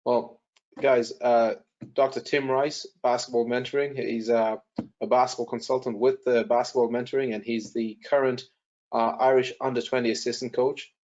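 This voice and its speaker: A man's voice: medium pitch, level tone, moderate pace, a little mellow, highs cut off. A man hosting an online talk, introducing the guest in an informal, hesitant way. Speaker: webinar host.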